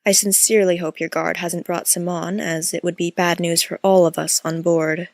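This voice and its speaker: A woman's voice: on the low side, little pitch movement, normal speed, vocal fry, a little mellow, dry recording, clean studio sound. A woman playing a composed, tactful alien ruler in an audio drama, polite and rather formal. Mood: calm, tactful.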